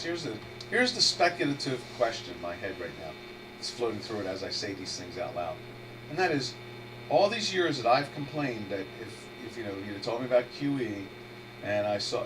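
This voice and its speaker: Man with a head cold, distant hum. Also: medium pitch. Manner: rambling, meandering, restarts sentences. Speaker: trader hosting a live webinar. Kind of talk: casual live market commentary, thinking aloud. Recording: distant mic with steady electrical hum.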